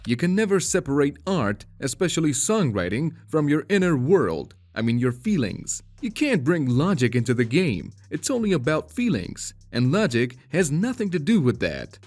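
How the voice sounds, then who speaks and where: A man's voice: on the high side, very animated, normal speed, few pauses, a little mellow. A man narrating a scripted voice-over and explaining his points. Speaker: video narrator.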